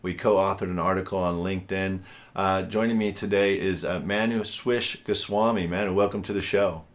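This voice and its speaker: Low-voiced chatty male podcast host. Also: steady tone, normal speed. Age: 40s. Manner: chatty, rambling with frequent fillers. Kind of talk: podcast interview.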